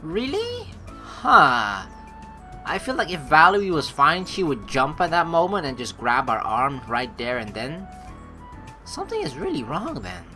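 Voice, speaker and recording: A game streamer reading story dialogue aloud and throwing in joking, sarcastic reactions. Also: mid-range voice. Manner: animated, joking, sarcastic asides. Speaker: let's play streamer. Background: game music.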